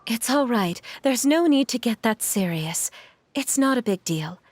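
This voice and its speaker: A woman voicing a brave, kindly mother in a video game, calm, polite and reassuring. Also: on the high side, a little slow.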